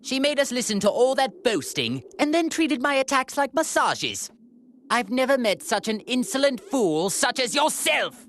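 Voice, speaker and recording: A man's voice: very high-pitched, big pitch swings, normal speed, a little hiss or hum, heavily acted. A man voicing a cruel, refined alien tyrant in a video game, with haughty, sneering, formal speech. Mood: indignant. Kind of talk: theatrical video game voice acting. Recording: loud music behind the voice.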